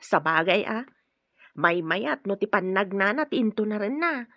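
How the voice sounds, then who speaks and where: An actress with an Ilocano Filipino accent playing an agreeable, gossipy household worker in a radio drama. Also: female voice, medium pitch, animated, moderate pace, no room sound. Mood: chatty. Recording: dull, lo-fi radio drama recording.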